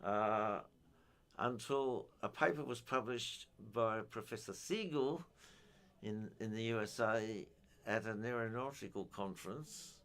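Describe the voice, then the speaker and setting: An older man giving an informal technical explanation in a halting, methodical way. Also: fairly low pitch, a bit bright. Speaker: amateur radio enthusiast giving a technical talk.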